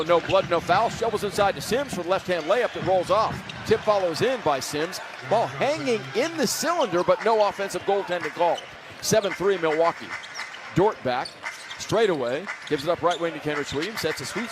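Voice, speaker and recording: A man's voice: high-pitched, noisy background. A man with an American accent calling basketball live on radio as play-by-play announcer, in clipped vivid phrases full of catchphrases. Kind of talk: fast live radio sports commentary.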